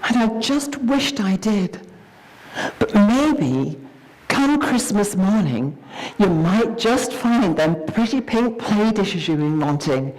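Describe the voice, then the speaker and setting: A woman's voice: medium pitch, big pitch swings, slow pace, darkish tone, poor audio. Woman acting a weary, folksy, hard-up mother. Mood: tearful, tender. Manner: folksy ungrammatical talk. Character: poor, weary, sharp-tongued but loving young mother. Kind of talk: dramatic story reading.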